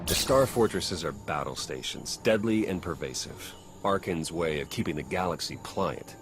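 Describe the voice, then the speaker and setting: Low-voiced grim field agent. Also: male voice, animated, normal speed, over music. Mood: worried, urgent. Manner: earnest, grave. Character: grim, worried male agent briefing his commander. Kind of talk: dramatic video game acting.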